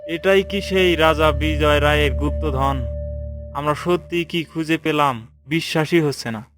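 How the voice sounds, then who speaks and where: Man acting as eager young adventurer, wide-eyed, informal male voice, slightly high pitch, cartoon fairy tale, background music. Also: measured pace, close to an everyday voice. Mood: amazed.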